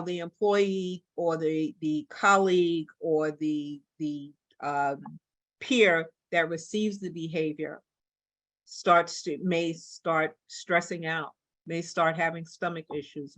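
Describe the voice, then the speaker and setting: A woman giving a workshop presentation as a union staff presenter, halting and emphatic as she restarts her phrases. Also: on the low side, no room sound.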